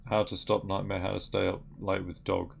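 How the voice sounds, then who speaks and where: A young man with a gravelly voice, commenting on a game as he plays and mutters through on-screen text. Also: deep voice, level tone, moderate pace, a little hiss or hum. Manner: mutters aloud while reading on-screen text. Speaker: gaming video creator. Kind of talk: game commentary while playing. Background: keyboard typing and clicks.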